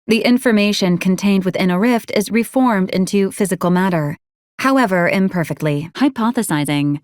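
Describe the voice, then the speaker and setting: A woman voicing a polite, coolly logical robot companion in a video game, speaking in formal, clipped, deadpan announcements. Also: medium pitch, no room sound, studio quality, close to an everyday voice. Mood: matter-of-fact, analytical. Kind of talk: scripted video game voice acting.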